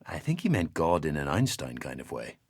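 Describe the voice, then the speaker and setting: A low-voiced, slightly husky researcher in a game audio log. He is measured and reflective, with lively intonation, recorded very dry and close. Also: dry recording, heavily acted. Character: thoughtful male researcher reading quotations aloud and discussing them. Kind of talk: audio drama reading and conversation.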